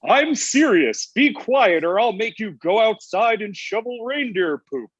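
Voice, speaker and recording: Man playing high-pitched, husky, casual Santa Claus. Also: expressive, measured pace, exaggerated voice. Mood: irritated. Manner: casual slangy delivery. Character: laid-back, bro-talking Santa Claus, gruff and easily irritated. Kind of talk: comedy script table read. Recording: dull lo-fi online call audio.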